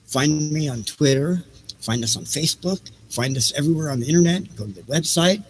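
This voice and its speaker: A man's voice: a little high, lively intonation, brisk, slight background noise, rough recording. A man hosting a livestream in casual, improvised chat, rambling as he thinks aloud and repeats his phrases. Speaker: host of a livestreamed reading and music show.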